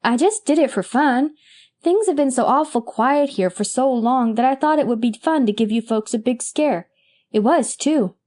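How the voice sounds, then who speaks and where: Woman reader doing a high-pitched, mellow-toned small boy, mischievous, childish. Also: expressive, normal speed. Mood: sheepish. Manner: childish, pleading, colloquial. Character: mischievous six-year-old boy, blunt and earnest.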